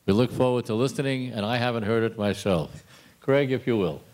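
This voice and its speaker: Older guy announcing at a convention, average pitch, short halting bits. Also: a little mellow. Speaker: presenter at a convention. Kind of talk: informal stage announcing. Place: live in front of a convention audience.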